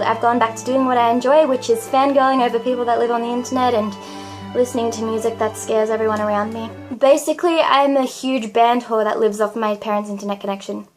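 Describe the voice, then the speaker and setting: High-voiced girlish young woman vlogger. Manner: high girlish voice, dramatic and self-mocking. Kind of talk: confessional vlog monologue.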